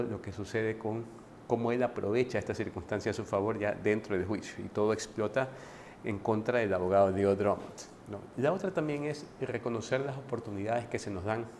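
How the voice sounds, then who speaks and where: A man giving a casual, conversational book commentary to his viewers, often pausing to restart and adding tag questions. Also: fairly low pitch, a little mellow, slight background noise. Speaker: book reviewer.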